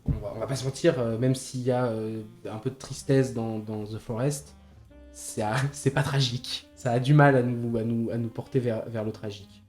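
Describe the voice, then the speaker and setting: A man's voice: medium pitch, fairly even intonation, normal speed, darkish tone. A man discussing films casually, hesitating and repeating words as he thinks. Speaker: podcast co-host. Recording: slightly roomy podcast recording with music behind.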